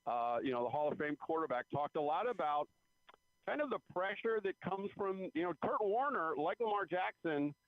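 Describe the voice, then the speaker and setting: A man's voice: a little high, animated, moderate pace, no room sound. A man giving conversational sports analysis as a guest reporter, repeating phrases for emphasis, over a phone line. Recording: phone-like radio call-in line.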